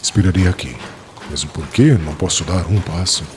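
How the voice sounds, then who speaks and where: An actor playing a weary, dignified learned man, formal and measured, in a dramatized audiobook. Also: low-pitched, noisy background, natural voice. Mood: weary, grave.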